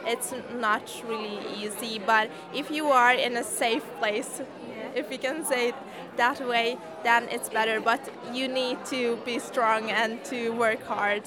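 A woman's voice: on the high side, fairly even intonation, a little slow. A young woman from Hungary, an English speaker, answering interview questions. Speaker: science competition participant being interviewed. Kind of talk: street-style interview answers. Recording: busy crowd chatter behind the voice. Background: crowd chatter.

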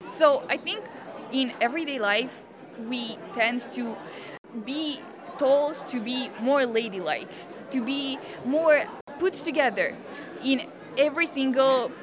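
High-pitched young woman in busy crowd. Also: slow. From Romania. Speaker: interviewee. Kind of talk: conversational interview answers. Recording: busy public space, crowd chatter. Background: crowd chatter.

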